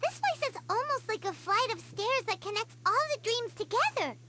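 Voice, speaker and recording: A woman voicing a tiny, excitable floating girl companion who talks about herself in the third person. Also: very high-pitched, normal speed. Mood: thoughtful. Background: game music.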